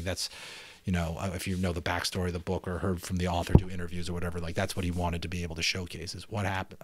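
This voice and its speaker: A man reading a novel dramatically as an amateur narrator and host, expressive and reflective. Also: sits low, breathy edge, no room sound. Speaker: amateur audiobook reader and channel host. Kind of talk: dramatic narration with casual commentary.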